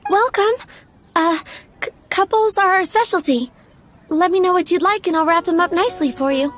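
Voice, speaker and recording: An actress playing a sweet, dreamy and easily flustered young flower seller in a video game. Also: female voice, very high voice, moderate pace, fairly bright tone, faint music behind. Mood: eager, dreamy. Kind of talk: video game character acting.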